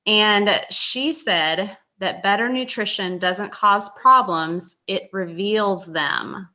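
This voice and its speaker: Bright, forceful woman over a muffled call. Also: medium pitch, slow. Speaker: team member sharing highlights. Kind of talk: casual recap on a video call. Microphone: video call. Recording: muffled video-call audio.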